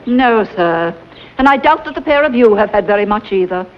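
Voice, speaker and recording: An actress playing a stern, haughty headmistress. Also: female voice, mid-range voice, animated, moderate pace, lightly acted. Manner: clipped, formal, severe. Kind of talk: vintage television drama acting. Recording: dull lo-fi old soundtrack.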